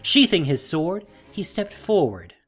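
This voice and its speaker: Expressive narrator with a lively, swooping delivery. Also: mid-range voice, unhurried, husky. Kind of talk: dramatic scripted fantasy storytelling. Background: soft music.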